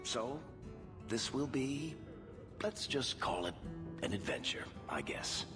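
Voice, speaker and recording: An actor playing a wry, glib dwarf adventurer with roguish charm in a video game. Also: male voice, medium pitch, big pitch swings, slow. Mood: self-amused, breezy. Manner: sardonic, glib, self-amused storytelling. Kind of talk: video game character dialogue. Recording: music behind the voice.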